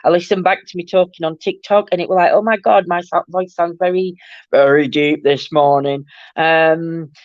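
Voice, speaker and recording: A middle-aged woman hosts a casual livestream. Her voice is croaky and a bit nasal, and she rambles affectionately to her viewers. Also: lowish voice, steady tone, moderate pace, no echo, lo-fi sound. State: croaky, nasal from hay fever. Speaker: livestream host. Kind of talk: casual live-stream chat with viewers.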